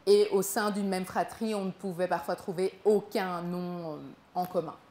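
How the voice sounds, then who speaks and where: Halting young woman in an echoey room. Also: fairly low pitch, fairly even intonation, dark tone, slight background noise. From Congo, raised in Belgium. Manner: halting, with many repeated words and fillers. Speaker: performer telling her own story. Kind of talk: live spoken performance. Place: small gallery room with an audience.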